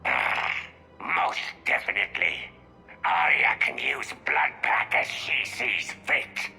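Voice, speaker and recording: An actor playing an ambitious, vicious alien gang brute in a video game, with a harsh gravelly voice and snarled delivery. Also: male voice, medium pitch, wildly swooping pitch, slow pace, pushed and bright, soft background music, heavily acted. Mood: defiant.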